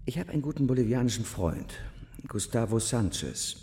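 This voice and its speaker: Radio drama role for a man: determined political plotter, mellow low tone, cavernous reverb. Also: animated, moderate pace, natural voice. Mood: resolute. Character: resolute male political plotter. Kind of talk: scripted radio drama monologue. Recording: long hall reverb.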